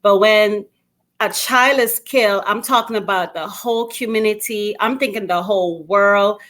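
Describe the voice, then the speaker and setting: A woman's voice: mid-range voice, normal speed, fairly bright tone. A middle-aged woman from Guyana and Brooklyn, speaking as an interview guest in an emphatic, conversational storytelling way. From Guyana and Brooklyn, New York. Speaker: interview guest, anti-gun violence activist.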